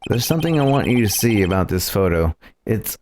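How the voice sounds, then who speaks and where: Low-voiced man theatrically reading a game. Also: sing-song, a little fast, a little mellow, a little hiss or hum. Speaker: streamer. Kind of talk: dramatic read-aloud game playthrough.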